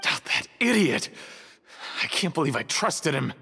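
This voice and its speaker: A man's voice: fairly high pitch, big pitch swings, measured pace, gravelly, bright, forceful tone, exaggerated voice. A man voicing a jittery, resentful smuggler prisoner in a video game, defensive and self-justifying. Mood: panicked, indignant. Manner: stammering, defensive, indignant. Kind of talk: scripted video game dialogue. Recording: long hall reverb, music behind.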